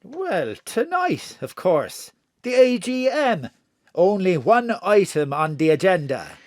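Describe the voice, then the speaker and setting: A woman's voice: lowish voice, very animated, takes it slowly, darkish tone, no echo. Audio drama role: a secretive woman plotter, cryptic wording, very varied intonation, dry close recording. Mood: guarded.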